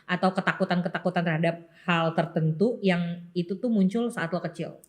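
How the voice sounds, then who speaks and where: A woman's voice: fairly low pitch, fairly quick. A young woman with a Jakarta Indonesian accent, chatting casually and expressively in colloquial speech as a podcast co-host. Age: young adult. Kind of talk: casual chat.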